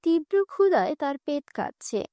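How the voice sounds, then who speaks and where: A woman's voice: sits high, big pitch swings, a little fast, dark tone, dry recording, studio quality, faint music behind. A woman reading scripted narration for a cartoon fairy tale. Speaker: story narrator.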